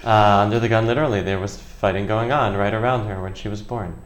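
A man's voice: lowish voice, steady tone, fast, reverberant, slight background noise. A middle-aged man with an American accent answering interview questions conversationally, with a wry, joking delivery. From United States, Chicago area. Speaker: interview guest, political journalist. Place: indoor office in a parliament building.

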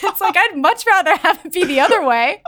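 A young woman who is a podcast guest, telling a story in an excited, gushing way during casual chat. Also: extremely high pitch, fairly quick.

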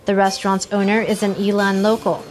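A woman narrating a news feature as a reporter in a clear, steady voice-over. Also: medium pitch, brisk.

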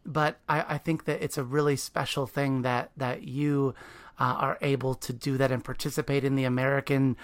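A woman's voice: rumbling low, level tone, normal speed. Effusive podcast host woman. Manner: enthusiastic, effusive praise. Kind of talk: interview hosting.

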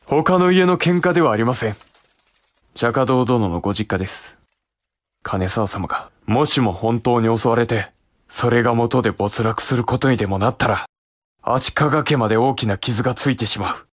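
A man's voice: mid-range voice, moderate pace, no room sound, natural voice. A man playing an earnest, anxious young samurai younger brother who speaks politely in a period audio drama.

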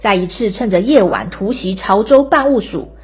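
A woman's voice: medium pitch, sing-song, normal speed, husky. Taiwanese Mandarin woman narrator, slightly dull sound. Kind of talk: scripted storytelling narration for radio. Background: music.

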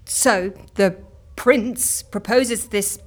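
An actress playing an exasperated, sceptical assistant in a comedy audio drama, dry and easily flustered. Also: female voice, medium pitch, very slow. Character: exasperated, sceptical woman assistant at eccentric agency.

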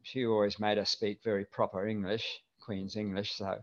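An older man from New Zealand with a mild New Zealand accent, chatting as an interview guest in a relaxed, rambling way with lots of fillers. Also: on the low side, normal speed. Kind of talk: casual interview chat. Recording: dull lo-fi remote audio.

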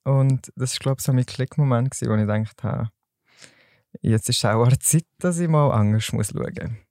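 A man's voice: mid-range voice, normal speed, a little mellow, no echo, clean studio sound. A young man from Solothurn with a Swiss German accent, a podcast guest talking in an easygoing, slightly hesitant way. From Solothurn, Switzerland. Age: young adult. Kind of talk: casual podcast chat.

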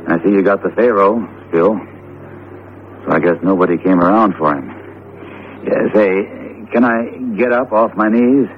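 An actor playing a loyal, protective best friend who talks in a breezy, colloquial, coaxing way in a 1970s radio drama. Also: male voice, fairly low pitch, unhurried. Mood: breezy, uneasy. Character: loyal, plain-talking male best friend, protective narrator. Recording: tinny, hissy old radio broadcast.

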